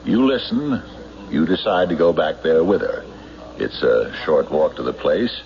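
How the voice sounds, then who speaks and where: An actor in an old-time radio drama playing a shrewd, relentless sheriff who questions suspects with deliberate, accusatory pauses. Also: male voice, extremely low pitch, sing-song, muffled recording, heavily acted. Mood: probing, suspicious. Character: shrewd, relentless small-town sheriff interrogating murder suspects. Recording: noisy band-limited old radio broadcast recording.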